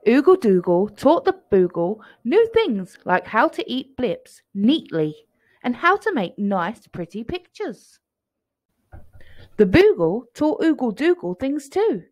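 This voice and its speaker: A woman reads a storybook aloud for children. Her voice is fairly high and very animated, recorded close and dry. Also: dry recording.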